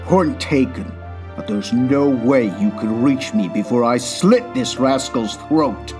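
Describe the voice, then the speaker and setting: A man's voice: medium pitch, lively intonation, slow pace, music in the background. A man playing a cold, arrogant, murderous aristocratic swordsman in a comic dub, speaking in a formal, threatening way. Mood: haughty.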